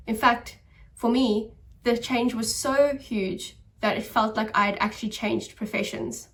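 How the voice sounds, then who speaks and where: A woman doctor talking straight to camera with earnest, encouraging advice. Her voice sits at a middling pitch and comes across as somewhat distant from the mic. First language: English. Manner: earnest, encouraging, steady explaining. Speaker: doctor and channel host. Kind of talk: talk-to-camera advice video.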